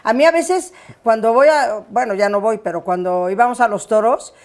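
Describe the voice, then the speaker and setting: A woman's voice: fairly high pitch, normal speed, a little mellow. A woman interviewing a guest in a familiar, affectionate way. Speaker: interviewer, channel host. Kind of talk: friendly interview chat.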